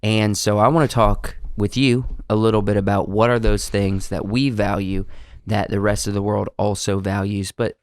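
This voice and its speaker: Southern male podcast co-host, low voice, sniffly with a cold, easygoing and rambling, dry close mic. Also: level tone, moderate pace, few pauses, smooth, no echo. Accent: American Southern. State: stuffy from a cold. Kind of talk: casual chat.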